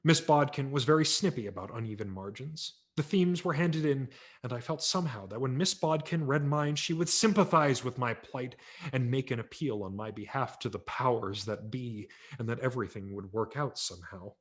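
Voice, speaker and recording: Wry, expressive male storyteller. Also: mid-range voice, moderate pace. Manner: wry, expressive storytelling with small character voices. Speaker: audiobook narrator. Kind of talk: dramatic reading of a memoir story. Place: home, virtual library reading.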